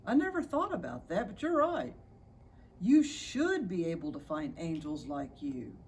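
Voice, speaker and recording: A woman's voice: fairly low pitch, very animated, a little slow, a little mellow, distant mic, a little hiss or hum. A woman reading a storybook as a friendly, easygoing young art student working as a store Santa. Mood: understanding.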